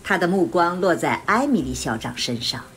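A woman narrates a radio drama from a script. Her voice is slightly low with lively, varied intonation. Music plays clearly behind her. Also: normal speed.

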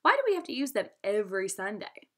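A curious young boy read by a woman questions his elders in a storybook. He sounds eager, with pitch that jumps about in a lively way. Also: quick pace. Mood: puzzled.

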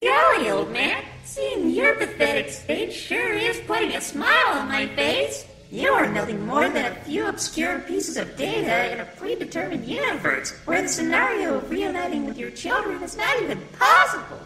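Shrill, sadistic little flower villain. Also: female voice, big pitch swings, normal speed, hardly any pauses, over music, rough recording. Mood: gloating cruelty. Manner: mock-sweet, gloating, theatrical. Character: sadistic talking flower, cute and taunting villain. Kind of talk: animated fan dub acting.

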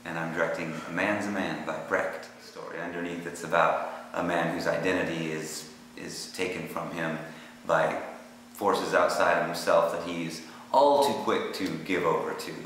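A man with a very deep voice speaks as a theatre director explaining a play. His words ring in a very echoey hall with long reverb, over light background noise. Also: steady tone, normal speed, fairly bright tone.

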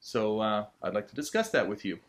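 A man's voice: fairly low pitch, very animated, a little fast. A man, asking questions conversationally as an interviewer. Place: small indoor tattoo studio room.

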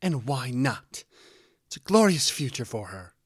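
A man voicing an angry, defiant adult mare, a pony mother who argues in shouts. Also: mid-range voice, big pitch swings, a little slow, breathy edge, dry recording, clean studio sound, voice pushed up. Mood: defiant, shouting. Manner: shouting, argumentative.

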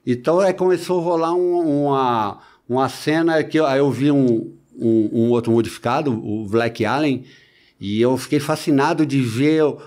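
A man in his forties with a Brazilian Portuguese accent and a medium-pitched voice tells his story casually. He speaks haltingly, doubling back on words and tagging his phrases as he goes. He is close on a studio microphone. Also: darkish tone. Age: 40s. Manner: halting, repeats words, peppered with "né" tags. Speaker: podcast guest, body-modification enthusiast. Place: small podcast studio. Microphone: close studio microphone on boom arm.

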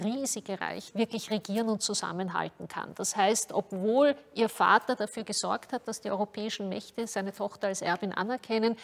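A woman speaking as an expert commentator, explaining spontaneously in interview style. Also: medium pitch. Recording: reverberant room.

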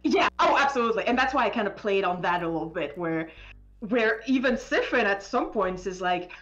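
A woman chatting casually as a game developer in an interview, animated, often interrupting herself and stammering over words. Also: medium pitch, a little fast, lo-fi sound. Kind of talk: casual live stream chat.

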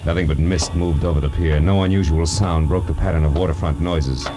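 An American actor playing a tough, wry private detective in 1940s radio noir, clipped and sardonic. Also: male voice, extremely low pitch, steady tone, fairly quick, loud music behind the voice. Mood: tense, brooding. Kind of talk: 1940s radio noir acting and first-person narration. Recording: old radio broadcast.

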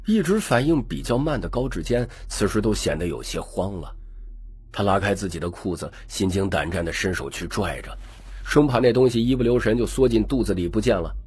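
Very deep, husky male storyteller. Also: expressive, brisk, darkish tone, no room sound. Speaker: audiobook narrator. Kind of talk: scripted dramatic story narration.

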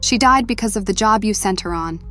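A woman's voice: medium pitch, a little fast, fairly bright tone, faint music behind, close to an everyday voice. A woman playing a principled young soldier in an audio drama, guarded, firm and bitter. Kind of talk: scripted audio drama acting.

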